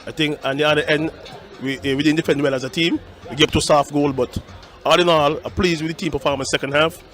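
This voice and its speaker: Fast-talking Caribbean man, slightly high. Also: fairly even intonation, a bit bright, soft background music. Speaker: football coach. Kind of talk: post-match interview.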